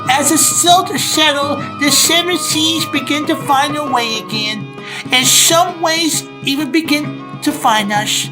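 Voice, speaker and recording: High girly storyteller lady acting it, solemn hopeful fairy tale narration, music clearly under it. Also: a little slow, rough recording. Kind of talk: scripted dramatic narration. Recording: music behind the voice.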